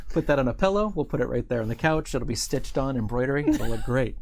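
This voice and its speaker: A man hosting a casual studio chat show about gaming, rambling and quick to joke. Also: mid-range voice, lively intonation, darkish tone. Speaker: host of a tabletop gaming show.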